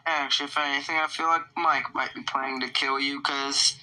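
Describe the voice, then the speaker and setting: A man's voice: medium pitch, flat delivery, brisk, sharp tone, poor audio. A man playing a menacing, taunting monster villain in an improvised video game roleplay. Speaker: roleplay video creator voicing characters.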